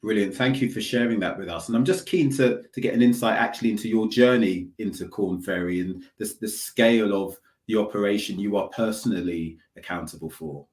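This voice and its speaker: A man hosting an online conference session, speaking in a polite, measured, formal way. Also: lowish voice, darkish tone. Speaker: host. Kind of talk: webinar hosting. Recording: roomy echo.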